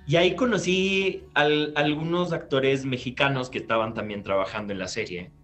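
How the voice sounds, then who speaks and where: A man, an actor recounting his career in an interview over a video call from a bright living room. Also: on the low side. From Peru. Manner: animated, gestures while recounting, restarts phrases. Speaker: guest actor. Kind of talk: storytelling interview answer. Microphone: laptop webcam on video call.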